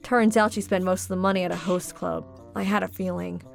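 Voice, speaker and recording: A woman's voice: on the low side, steady tone, a little fast, vocal fry, soft background music. A woman reading scripted first-person story narration as the narrator of a dubbed comic.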